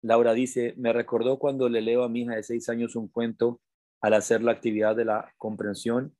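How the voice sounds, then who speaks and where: A man's voice: medium pitch, little pitch movement, moderate pace, darkish tone, no room sound. A man teaching meditation and attention in an informal talk, didactic and repeating his phrases for emphasis. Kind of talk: informal spoken teaching to an online audience.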